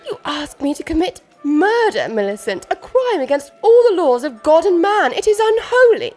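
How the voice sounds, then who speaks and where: A woman's voice: extremely high pitch, sing-song, moderate pace, darkish tone, faint music behind. An actress playing a nervous, naive young noblewoman in a period comedy radio play, speaking formally and hesitantly. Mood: appalled.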